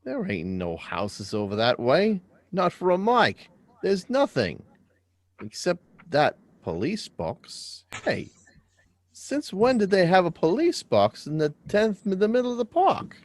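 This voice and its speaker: A man plays a schoolboy in a radio drama. His mid-pitched voice moves in lively swings and sounds dry and close. He is earnest, curious and courteous, with a persistent questioning streak. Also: measured pace, no room sound, heavily acted. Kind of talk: live script reading of a radio drama.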